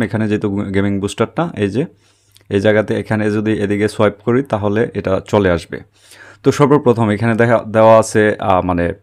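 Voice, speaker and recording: A man with a fairly low voice walks through a phone tutorial step by step. He explains each setting as he goes and often pauses to check that the listener is following along. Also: a little mellow. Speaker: tech tutorial host. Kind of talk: spoken screen-recording tutorial, step by step.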